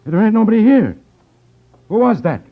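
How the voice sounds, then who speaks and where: High-pitched, rough gangster henchman. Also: sing-song, moderate pace. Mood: alarmed. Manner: slangy, rough, ungrammatical. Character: jumpy, rough male gangland henchman. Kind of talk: 1950s radio drama acting. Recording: thin, tinny 1950s radio recording.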